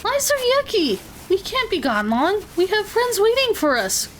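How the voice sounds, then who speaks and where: A woman's voice: very high voice, huge pitch swings, normal speed, a little hiss or hum, full character voice. A woman voicing a tiny, plucky female gnome adventurer in a theatrical fantasy audio drama, with a small, emphatic voice. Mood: excitable, fussy.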